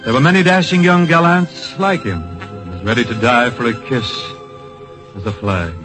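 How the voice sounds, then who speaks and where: An actor playing an earnest, solemn storyteller, narrating in poetic phrases with dramatic pauses in an old-time radio drama. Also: male voice, mid-range voice, fairly bright tone, close to an everyday voice. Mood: earnest, reflective. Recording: vintage radio broadcast with music.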